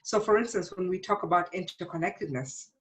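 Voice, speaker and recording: A middle-aged woman, speaking as an interview guest in a measured, explanatory and reflective way. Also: on the low side. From born in Uganda, grew up in South Africa. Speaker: interview guest, psychologist and teacher.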